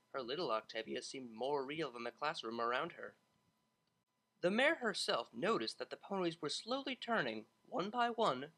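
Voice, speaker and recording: Audiobook narrator for fiction: bright tone, animated intonation. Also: medium pitch, low-quality recording.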